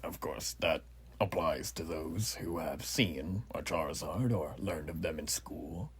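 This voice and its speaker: A man's voice: extremely low pitch, measured pace, husky, no room sound, full character voice. A man playing an earnest, proud revolutionary army officer in a roleplay, speaking to the listener in a formal, rambling, stately way. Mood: measured, instructing. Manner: formal, rambling, theatrically stately, hushed asides. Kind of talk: roleplay voice acting addressed to the listener.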